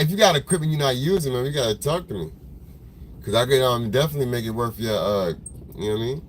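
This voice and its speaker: Chill guy w a smoky smoker's voice, rambling on a live stream from his car, faint road hum. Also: medium pitch. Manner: laid-back, rambling, slangy, greets viewers by name. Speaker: photographer hosting a livestream. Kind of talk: casual livestream chat with viewers. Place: in a car in traffic. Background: faint vehicle noise.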